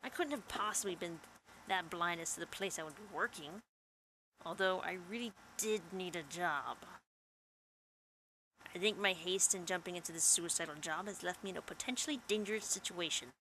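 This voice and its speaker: Bright-voiced woman reading a visual novel. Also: lowish voice, normal speed, a little hiss or hum, low-quality recording. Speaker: gaming channel host. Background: game music.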